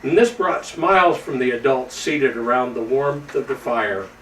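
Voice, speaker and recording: A man playing Santa Claus, a jolly old man reading a storybook to children in a folksy, slightly halting way. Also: mid-range voice, fairly bright tone, close to an everyday voice. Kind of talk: storybook read-aloud to children. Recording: roomy, distant microphone with faint background noise.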